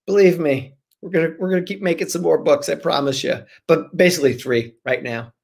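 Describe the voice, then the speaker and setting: A man in his forties, an author guest, casually answering questions over a video call from a room at home in an animated, jokey way. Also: fairly high pitch, fairly quick, gravelly.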